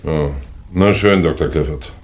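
An actor playing a methodical, polite but firm police inspector, questioning formally in 1960s German radio drama style. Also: male voice, very deep voice, a little slow. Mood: sceptical, businesslike. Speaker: actor in a crime radio play.

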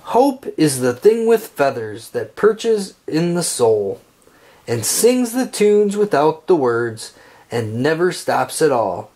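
A man reads a picture book aloud for children in a fairly high voice. His delivery is slow and deliberate, and his intonation swings up and down expressively through each short phrase. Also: sing-song, darkish tone.

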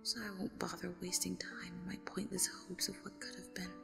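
Woman acting a haunted, creaky, half-whispering horror survivor. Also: lowish voice, little pitch movement, brisk, pushed and bright, faint music behind, full character voice. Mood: bitter, grim. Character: haunted, grieving survivor of a horror ordeal, recounting it. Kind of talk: dramatic horror audio drama narration.

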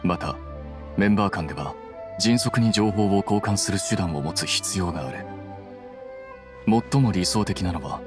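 Guy doing a deep cool scholar from an anime game, calm and analytical, clear music behind him. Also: moderate pace, long pauses, close to an everyday voice. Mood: explaining. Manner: composed, matter-of-fact, precise explaining. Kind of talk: Japanese anime game voice acting.

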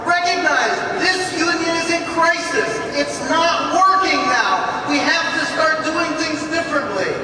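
A man giving an urgent, rallying floor speech as a delegate at a union convention. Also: very high voice. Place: large convention hall. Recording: echoey hall heard from far off, noisy.

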